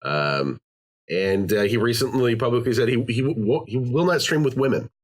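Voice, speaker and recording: A man's voice: mid-range voice, lively intonation, normal speed. A man hosting a podcast, talking casually, rambling with many fillers and restarts. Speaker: podcast host. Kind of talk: casual chat.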